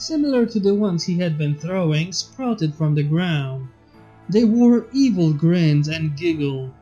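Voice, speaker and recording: A woman's voice: fairly low pitch, dark tone. Woman narrating a story, slightly muffled. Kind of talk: dramatic fan fiction reading, scripted narration. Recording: slightly muffled, faint music behind. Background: background music.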